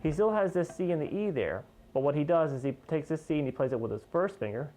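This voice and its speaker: A man teaching guitar in an informal, conversational way, explaining step by step and pausing as he points out each note. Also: a little high, animated, dark tone, low-quality recording. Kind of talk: informal instructional lesson, demonstrating step by step.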